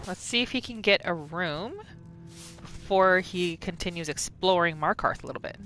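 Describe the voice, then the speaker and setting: A woman giving expressive, storytelling third-person commentary as she roleplays through a video game. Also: fairly low pitch, normal speed. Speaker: gamer narrating a roleplay playthrough. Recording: faint game music behind.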